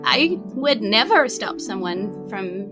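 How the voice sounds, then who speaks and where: A woman's voice: mid-range voice, sing-song, takes it slowly, music in the background. A woman playing an eccentric, easygoing female shopkeeper in a tabletop game, affectionate and teasing, with casual, filler-heavy speech. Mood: breezy, fond.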